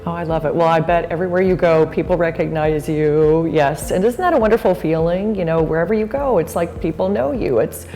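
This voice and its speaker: Low-voiced effusive woman host. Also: fairly quick, hardly any pauses, dark tone, in a large hall, faint music behind. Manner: effusive, enthusiastic reactions. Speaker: interview host. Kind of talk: interview chat.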